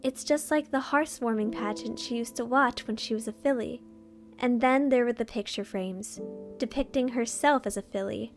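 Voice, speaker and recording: A woman's voice: fairly high pitch, normal speed, soft background music. A woman reading a fan fiction story aloud as narrator, in a scripted storytelling style.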